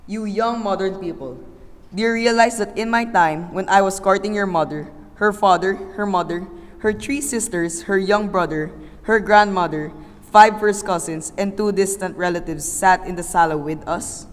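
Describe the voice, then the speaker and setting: A woman's voice: medium pitch, normal speed, lightly acted. A woman playing a stern Filipino father, echoing reverb. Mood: stern lecturing. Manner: lecturing, emphatic. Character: stern, old-fashioned, easily exasperated Filipino father. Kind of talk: amateur English radio play acting. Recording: reverberant hall-like echo.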